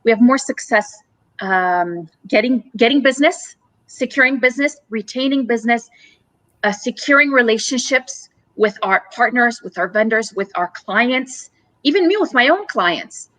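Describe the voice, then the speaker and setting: A woman with a somewhat high voice talks earnestly as a live-stream guest, walking through her ideas and stacking points into long lists. The sound is close and dry, with little room around her. She was born in Romania. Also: lively intonation, moderate pace, a bit bright, dry recording, low-quality recording. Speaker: guest, communications company CEO.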